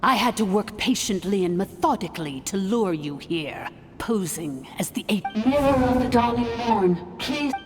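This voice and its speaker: An actress playing a vengeful, contemptuous ancient machine sovereign in a video game, speaking in grand, scornful phrases. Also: female voice, medium pitch, lively intonation, moderate pace, long reverb tail, faint music behind, lightly acted. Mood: vengeful, frantic. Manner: imperious, scornful, archaic grand speech. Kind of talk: dramatic video game voice acting.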